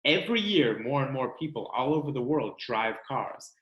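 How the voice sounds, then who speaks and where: A man reading a book aloud to children like a teacher, expressive and instructional, pausing to explain. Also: mid-range voice, dull audio. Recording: roomy, echoing sound.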